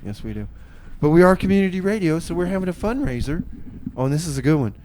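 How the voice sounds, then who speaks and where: Folksy male radio DJ, higher voice. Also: very animated, fast talker, darkish tone, a little hiss or hum. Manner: hesitant, folksy, repeats phrases. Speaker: community radio DJ and show host. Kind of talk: casual, improvised on-air chat and announcements.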